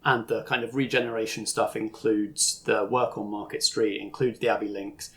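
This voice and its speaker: A man's voice: fairly low pitch, little pitch movement, normal speed, fairly bright tone. A man narrating a tabletop roleplaying game as game master, explaining things in an informal way.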